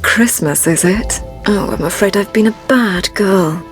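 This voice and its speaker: An actress playing a flirtatious upper-class British socialite in a video game, arch, playful and teasing. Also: female voice, medium pitch, a little slow, faint music behind, lightly acted. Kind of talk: video game cutscene acting.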